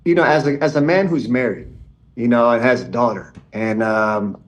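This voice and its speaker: A man in his forties talks in a loose, unhurried ramble. He keeps dropping "man" and "you know" between thoughts, and his voice sits at an ordinary middle pitch. The sound comes over a remote video call. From Israeli and Iranian background. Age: 40s. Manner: laid-back, fills speech with "man" and "you know". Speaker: podcast guest. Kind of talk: casual conversation. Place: home in Germany, remote call.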